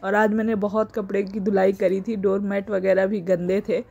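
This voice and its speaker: A woman's voice: medium pitch, little pitch movement, moderate pace, vocal fry, mellow, husky. A woman in her thirties from India, chatting casually through a family vlog, her throat sore. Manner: chatty, homely, laughs often. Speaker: family vlogger. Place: home and market.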